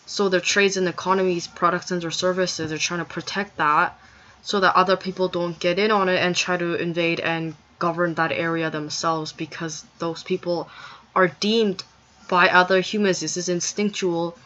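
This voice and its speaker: A woman's voice: on the low side, steady tone, normal speed, fairly bright tone. Rambling woman on a dull lo-fi recording. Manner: rambling, digressive, frequent fillers and restarts. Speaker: vlogger. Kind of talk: casual explanatory monologue.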